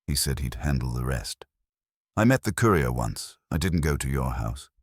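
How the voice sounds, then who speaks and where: A man's voice: a bass voice, sing-song, normal speed, no room sound, clean studio sound, pitched below the performer's own voice, full character voice. A man voicing a cool, defiant woman suspect in an audiobook mystery, guarded, terse and calculating. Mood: guarded confession. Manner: level, cold, terse.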